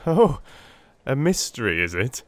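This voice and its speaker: Man acting a wry, curious gentleman army doctor. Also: mid-range voice, sing-song, measured pace, dry recording, pitched above the performer's own voice. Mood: delighted, intrigued. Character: weary but curious young ex-army doctor, polite, droll. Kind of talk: theatrical audiobook character acting.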